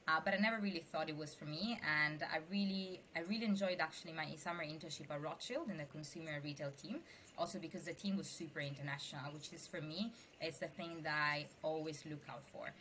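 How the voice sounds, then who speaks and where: A woman's voice: low voice, quick pace, fairly bright tone, a little hiss or hum, poor audio. A young adult woman from Sicily with an Italian accent, chatting animatedly as a guest in an interview. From Sicily, Italy. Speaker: venture capital investor, guest. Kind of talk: casual interview talk.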